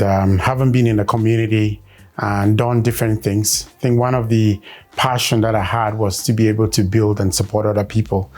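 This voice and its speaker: A man from Cameroon, a performance consultant and coach, giving measured interview answers with many pauses and fillers. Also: lowish voice, a little mellow.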